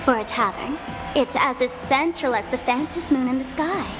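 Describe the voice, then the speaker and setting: A cheerful, mischievous young tavern host from a video game, voiced by a woman. She talks in a bubbly, showy, teasing way with a high, bright voice. Game music plays clearly behind her. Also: normal speed, natural voice.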